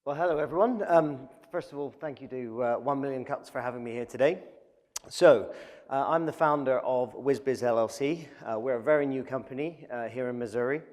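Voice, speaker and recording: English-accented man pitching, reverberant hall. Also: a little fast, darkish tone. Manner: conversational with frequent uh fillers. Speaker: startup founder presenting. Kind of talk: business pitch presentation.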